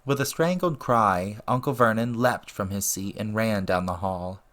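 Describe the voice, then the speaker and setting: A man's voice: lowish voice, normal speed, a bit bright. A man reading a story aloud as narrator, in scripted audiobook style. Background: music and ambience.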